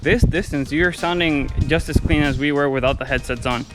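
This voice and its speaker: A man's voice: fairly high pitch, a little fast. A young adult man talking casually outside into a camera held at arm's length. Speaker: friend helping test gear. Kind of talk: casual chat. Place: outdoors on a suburban street. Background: prominent background music.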